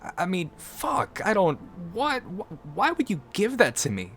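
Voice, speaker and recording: An actor, a man, playing a brash, taunting young female rebel mech pilot in an audio drama, with a teasing, excitable delivery. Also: sits high, a little slow, full character voice. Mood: flustered.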